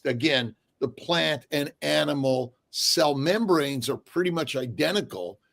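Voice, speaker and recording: A man co-hosting a live stream who slows down to explain things. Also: medium pitch, creaky. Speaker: co-host, doctor. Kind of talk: casual chat on a live stream.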